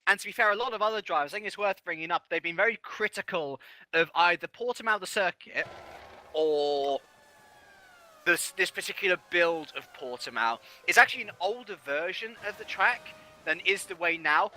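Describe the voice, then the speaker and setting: A high, very bright male voice calls a sim racing qualifying session live. He talks fluently and analytically, reeling off positions and lap times with steady authority. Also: a little fast. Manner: fluent, analytical, reads out standings. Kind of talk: live race commentary.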